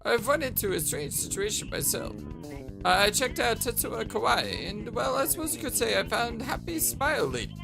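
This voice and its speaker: A man plays a prim, chatty visual novel friend with a very high-pitched voice. The delivery is precise and earnest, with music in the background. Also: big pitch swings, normal speed, creaky, exaggerated voice, poor audio. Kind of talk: visual novel character read aloud.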